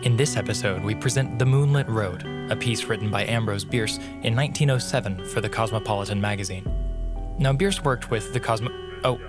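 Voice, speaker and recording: A man's voice: fairly low pitch, fairly quick, music in the background. A man hosting an audio narrative series, speaking as a podcast presenter introducing an episode. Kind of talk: podcast introduction with staged awkward banter.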